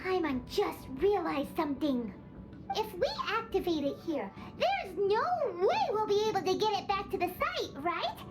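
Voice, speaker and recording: A tiny floating sidekick creature chirps in a very high, childlike voice that leaps up and down with every exclamation. She is bubbly and excitable, and she talks about herself by name. Loud game music plays behind her. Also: moderate pace. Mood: fussing. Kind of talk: video game dialogue.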